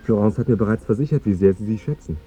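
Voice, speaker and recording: An actor playing a courteous, guileless fiancé who talks in an eager, earnest and tactless way in a radio drama. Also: male voice, lowish voice, quick pace, mellow, lightly acted. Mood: admiring, earnest. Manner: polite, chatty, earnest. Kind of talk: 1950s German radio drama acting. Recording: dull 1950s radio recording with music.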